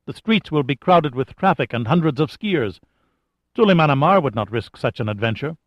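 A man's voice: a little high, expressive, moderate pace, no room sound, dull audio, voice pushed up. A man voicing a cautious but unafraid militant lookout in an audiobook thriller, blunt and matter-of-fact. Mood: wary, protesting.

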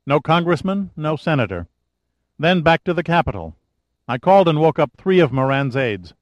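A male narrator voicing a brisk, wisecracking young woman secretary on a phone call. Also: a little high, very animated, dry recording, voice pushed up. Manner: wisecracking, quick, efficient.

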